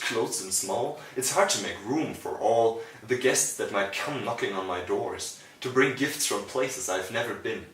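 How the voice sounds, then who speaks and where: A man performing spoken-word poetry as a poet, with declamatory, deliberate phrasing. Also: mid-range voice, fairly bright tone. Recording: roomy echo, distant from mic.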